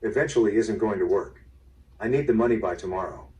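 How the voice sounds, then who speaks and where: Actor as blunt, low-voiced foreman, slightly distant. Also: fairly quick, a little mellow, close to an everyday voice. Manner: plain-spoken, casual, direct. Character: blunt working construction foreman, tactlessly friendly. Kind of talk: mystery audio drama acting. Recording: distant, played through a small speaker.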